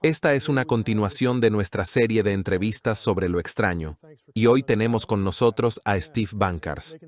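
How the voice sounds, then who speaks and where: A man's voice: fairly low pitch, normal speed. A man hosting an interview show, introducing his guest and asking guiding, inquisitive questions. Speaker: interview host.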